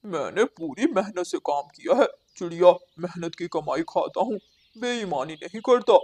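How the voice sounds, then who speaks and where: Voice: medium pitch, animated, moderate pace, a little mellow, breathy edge. An actor voicing a hardworking, polite male crow house painter in a children's cartoon, earnest and reassuring. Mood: earnest, grateful. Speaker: cartoon character voice. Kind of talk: Hindi children's cartoon dialogue.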